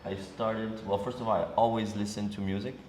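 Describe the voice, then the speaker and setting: A young man from Senegal, a guest DJ, talking casually in an interview with many ums and pauses. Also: lowish voice. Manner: relaxed, hesitant, many ums and uhs. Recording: echoey room with background noise.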